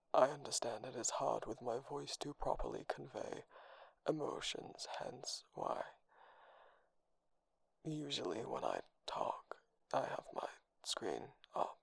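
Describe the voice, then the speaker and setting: A dry male robot companion, acted out by a man, speaking formally in an ASMR roleplay. The voice is whispery and gravelly, very close and dry, with measured, deliberate words. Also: mid-range voice, no echo, voice pushed down, full character voice, low-quality recording. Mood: deadpan, explanatory. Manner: flat emotional delivery, measured, formal. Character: dry, deadpan male robot computer boyfriend.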